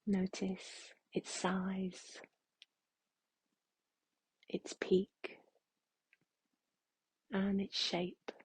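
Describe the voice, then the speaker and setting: Female meditation guide: very breathy, mellow, very slow and gentle, close dry sound. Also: mid-range voice, completely flat delivery, dry recording, low-quality recording. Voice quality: soft, breathy, hushed. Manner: gentle, unhurried, with long pauses.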